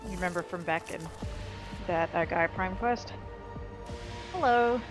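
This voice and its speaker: A woman's voice: lowish voice, unhurried. A woman giving casual video game commentary, with sarcastic asides. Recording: game music clearly behind the voice. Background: video game music.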